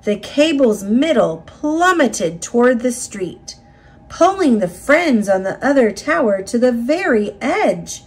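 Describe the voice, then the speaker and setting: Female storybook reader, slightly husky, unhurried, with lively pitch swings and dramatic phrasing for children. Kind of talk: expressive read-aloud narration of a children's picture book.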